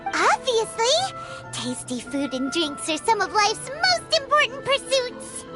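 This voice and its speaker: A woman voicing a small, childlike, excitable fairy companion in a video game, with a blunt, incredulous manner. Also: very high-pitched, slow, loud music behind the voice, full character voice. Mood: emphatic. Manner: blunt, incredulous, speaks of herself in third person. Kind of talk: video game character acting.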